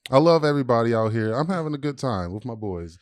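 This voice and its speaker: A man's voice: mid-range voice, expressive, quick pace, noisy background. A man co-hosting a podcast in a studio, chatting casually with slangy, teasing jokes. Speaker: podcast co-host. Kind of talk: casual, joking podcast chat.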